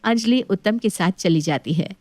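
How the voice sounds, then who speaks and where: A woman's voice: medium pitch, normal speed, darkish tone, no room sound, soft background music. A woman narrating a scripted domestic tale as storyteller.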